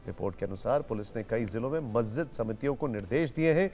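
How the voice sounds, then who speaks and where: A man's voice: medium pitch, expressive, quick pace, darkish tone, soft background music. A man presenting television news as an anchor, explaining points with emphasis.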